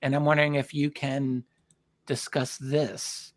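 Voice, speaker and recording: A man hosting a conversational interview, speaking in a thoughtful, halting way with repeated words. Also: medium pitch, level tone. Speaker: host interviewing a documentary filmmaker.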